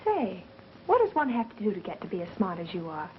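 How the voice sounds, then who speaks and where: Feisty wisecracker, muffled old film. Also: female voice, medium pitch, wildly swooping pitch, quick pace. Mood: sarcastic. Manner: snappy wisecracking. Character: feisty, sarcastic, skeptical 1930s woman. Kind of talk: 1930s mystery film acting. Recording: muffled, hissy 1930s film soundtrack.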